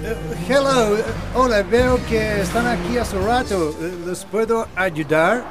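A man's voice: high voice, a little slow, over music. A man acting a friendly, hospitable amateur inventor in a scripted educational drama. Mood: friendly, inviting. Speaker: actor in an educational family drama. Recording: clear vehicle and ambient noise.

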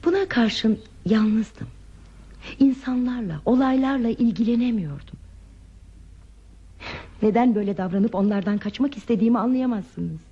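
An actress playing a lonely, withdrawn woman in a radio play, confiding her sad past in a hesitant, soft, reflective way. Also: fairly high pitch, moderate pace, pauses often, husky. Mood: melancholy, confiding. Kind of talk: Turkish radio theatre acting. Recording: muffled lo-fi radio drama with music.

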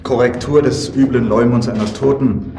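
An actor playing a courteous, sceptical investigator in a German radio play, formal and measured as he voices his doubts. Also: mid-range voice, steady tone, close to an everyday voice. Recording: roomy echo, clear background noise.

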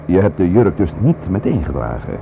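A man's voice: deep voice, big pitch swings, moderate pace, gravelly, full character voice. An actor playing an attentive, inquisitive man in a radio play, talking in a conversational, reassuring way. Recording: noisy, tinny narrow-band radio play recording.

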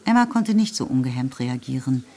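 A woman's voice: very deep voice, sing-song, brisk, warm tone. A woman giving scripted literary narration as the narrator of a radio drama.

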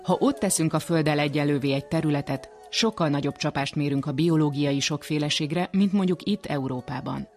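A man presents a scripted radio documentary. His voice sits a little high for a man, and music plays behind him as he narrates. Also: few pauses, darkish tone.

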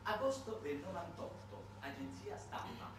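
A man's voice: sits high, very animated, unhurried. A man performing a satirical theatrical monologue, conversational yet dramatic, with big swings in volume. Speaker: solo theatre performer and narrator. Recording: very echoey, distant, noisy stage recording.

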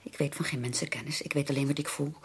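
An actress in a Dutch radio drama playing an earnest, anxious young sister who pleads haltingly and emphatically. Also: female voice, low voice, fairly even intonation, slightly rough. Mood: vehement, pleading. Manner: halting, emphatic, repeats words for stress.

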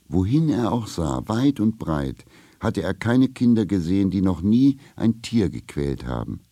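A man narrating a children's radio play as a storyteller, with measured, deliberate phrasing. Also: low voice, mellow, no echo, clean studio sound, exaggerated voice. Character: male storyteller of a children's tale, unhurried.

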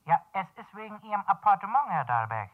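An actor playing a fussy building caretaker in a 1970s German radio crime play, explaining things in a halting, folksy way over a telephone. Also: male voice, high voice, sing-song. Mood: agitated, dutiful. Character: fussy male building caretaker reporting to an owner. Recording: band-limited telephone line in radio play.

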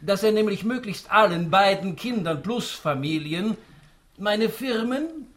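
An actor playing a smug, spry old tycoon patriarch in a rhymed radio play, theatrical and savouring each line. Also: male voice, high voice, lively intonation, a little slow, fairly bright tone. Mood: sly, gleeful. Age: older.

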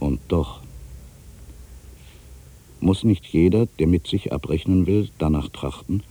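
A man playing an ageing, solitary writer who broods on his past in a deliberate, reflective literary monologue. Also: deep voice, warm tone, slightly rough, heavily acted. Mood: uneasy, pensive. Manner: brooding, deliberate, with pensive pauses. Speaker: monologue performer. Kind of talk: literary dramatic monologue, reflective first-person narration.

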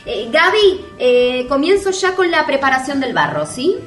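A woman's voice: high voice, very animated, normal speed, echoey room, loud music behind the voice. An actress playing a chatty, courteous beauty-cream promoter with an Argentine accent, reassuring her customers in a comedy sketch.

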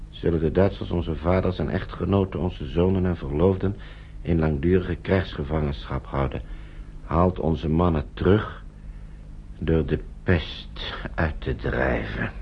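Pompous, extremely deep-voiced propaganda orator. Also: male voice, unhurried, gravelly. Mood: solemn exhortation. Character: stern male propaganda pamphlet voice, inciting and pompous. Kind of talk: declaimed radio drama reading. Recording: noisy, dull old radio recording.

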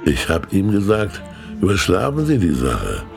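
An actor playing a very fat older state councillor in a radio play, jovial, sly and self-mocking, with a theatrical delivery. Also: male voice, low-pitched, huge pitch swings, measured pace, vocal fry, faint music behind, full character voice. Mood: genial, knowing.

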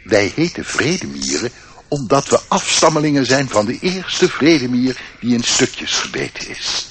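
A proud, warlike old ant in a radio drama, chatty and doddering, forever repeating himself. The voice swings wildly up and down at a middling pitch, with background noise on the recording. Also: male voice, wildly swooping pitch, moderate pace. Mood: self-important. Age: older. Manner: doddering, repeats his words. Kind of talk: radio play acting.

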